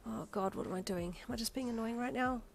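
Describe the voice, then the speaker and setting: A woman's voice: fast talker, vocal fry, soft background music. A woman doing a distraught young woman. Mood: anxious. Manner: higher and strained, emotional. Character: heartbroken, emotional young woman.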